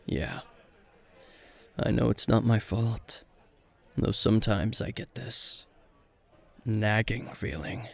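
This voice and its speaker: A man playing a weary, gruff veteran hero and teacher at a bar, terse and dry, in an intimate roleplay spoken to the listener. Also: on the low side, drawn-out words, long pauses, heavily acted. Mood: downcast, brooding. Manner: terse, guarded, dry, sighing.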